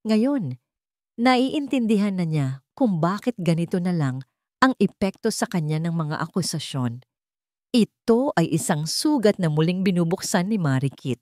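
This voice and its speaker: A woman's voice: lowish voice, expressive, a little slow, darkish tone, no room sound, studio quality. A woman narrating a dramatic scripted story.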